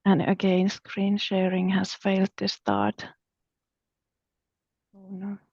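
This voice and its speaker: A woman's voice: mid-range voice, animated, slow pace, a little mellow, husky, no echo. A woman talks on a video call, flustered and half-muttering to herself while struggling with her computer. Her voice sits in a middle range. The audio is dry and dull, with no room sound. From Finland. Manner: flustered by tech trouble, murmuring to herself. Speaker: startup founder. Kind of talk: casual talk on a video call.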